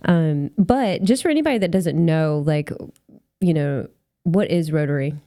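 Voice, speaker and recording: A woman's voice: low-pitched, lively intonation, normal speed, warm tone, no room sound, studio quality. A woman hosting a podcast in a casual, effusive, informal way. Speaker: podcast host.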